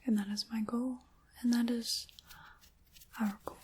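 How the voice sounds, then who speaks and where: A woman voicing an ancient, sly dark witch in an ASMR roleplay, in a breathy whisper with deliberate, theatrical, old-fashioned phrasing. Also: a little high, level tone. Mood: conspiratorial, sinister.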